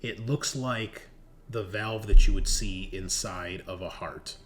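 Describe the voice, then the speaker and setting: A man narrates a horror tabletop game as game master, speaking very slowly in a fairly low voice. He halts and leaves long pauses as he builds each description. There is faint noise behind him. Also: fairly bright tone. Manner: halting, with drawn-out pauses as he describes scenes.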